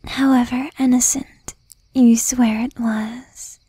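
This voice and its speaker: A woman's voice: a little high, flat delivery, no room sound, heavily acted. Woman playing a whispering possessive demon girlfriend. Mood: possessive, wounded. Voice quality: soft, breathy whisper. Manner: slow, intimate, menacing yet doting. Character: jealous, possessive young female demon girlfriend, shy, tender. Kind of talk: whispered ASMR roleplay.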